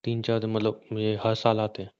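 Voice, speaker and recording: A man's voice: fairly low pitch, monotone, fairly quick, a little mellow, clear, resonant tone, natural voice. A man playing a hot-tempered, suspicious young husband who badgers bluntly with repeated questions in a conversational audio drama. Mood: confused, badgering. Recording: dull, lo-fi call-recording sound.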